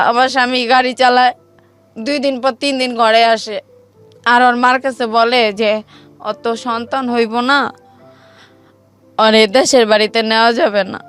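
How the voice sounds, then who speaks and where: A woman's voice: high-pitched, fairly bright tone, clear, resonant tone. A woman with a Bangladeshi accent telling her own life story in emotional, colloquial speech, reenacting the exchanges as she goes.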